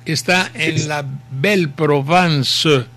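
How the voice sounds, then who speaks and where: A man's voice: a little high, a bit bright. An older man with a Mexican accent hosting a radio show, reading listener messages in a rambling, folksy way, with a sore throat. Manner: rambling, folksy, slow and unhurried. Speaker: radio host. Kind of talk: casual on-air commentary reading messages. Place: home study with bookshelves.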